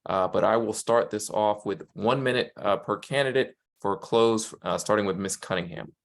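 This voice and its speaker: A man's voice: fairly low pitch, normal speed. A man moderating a virtual candidate forum, polite and a little hesitant, with many fillers. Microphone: video call.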